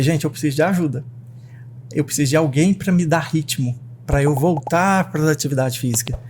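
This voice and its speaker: A man with a Brazilian Portuguese accent hosting a casual podcast as a psychiatrist, animated and talking straight to his listeners. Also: on the high side, slight background noise. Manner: animated, talks straight to the audience.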